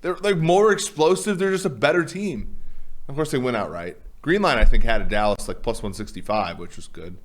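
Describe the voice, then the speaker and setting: A man co-hosting a casual sports chat, talking loudly and rambling, with many restarts and fillers. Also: mid-range voice, expressive, brisk. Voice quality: loud voice. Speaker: co-host.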